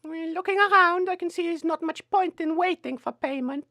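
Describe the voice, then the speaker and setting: A woman's voice: very high voice, normal speed, sharp tone, no echo, studio quality, heavily acted. A woman playing a cheerfully menacing quack lady doctor with French phrases in a theatrical comedy sketch. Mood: smugly sinister. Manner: theatrical, politely sinister. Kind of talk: audio sketch comedy acting.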